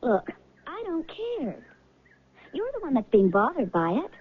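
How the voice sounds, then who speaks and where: A woman's voice: high-pitched, huge pitch swings, a little slow, no echo, full character voice. An actress playing a carefree, coaxing woman in 1970s radio drama, with a playful, nonchalant manner. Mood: persuasive. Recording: thin phone-like old radio recording.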